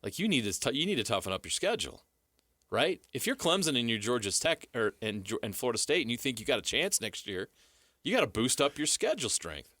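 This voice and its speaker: A man's voice: medium pitch, sing-song, fairly quick, pushed and bright, no room sound, clean studio sound. A man with an American accent co-hosting sports talk, explaining his analysis emphatically in a casual style. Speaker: sports radio co-host.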